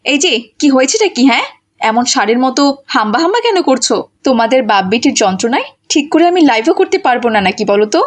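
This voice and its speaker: A woman voicing a selfish, short-tempered mother in a cartoon, with a shrill, theatrical delivery. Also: very animated, fast talker. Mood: irritated.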